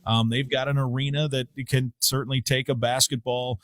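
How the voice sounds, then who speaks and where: A man's voice: medium pitch, level tone, normal speed, fairly bright tone, no echo. A middle-aged man who grew up in Iowa, talking as a guest on a sports show. He works as a radio play-by-play broadcaster and speaks in a mid-range voice. He thinks aloud, with plenty of fillers and restarts. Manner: thoughtful, many fillers and restarts. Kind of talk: casual sports talk.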